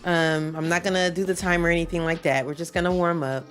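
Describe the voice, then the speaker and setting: A woman with a stuffy nose chatting casually with her viewers while she warms up at a game, rambling and repeating herself. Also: fairly low pitch, fairly even intonation, fast, soft background music. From Michigan. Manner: rambling, repeats herself, chats with stream chat. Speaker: livestreamer playing a speedrun practice.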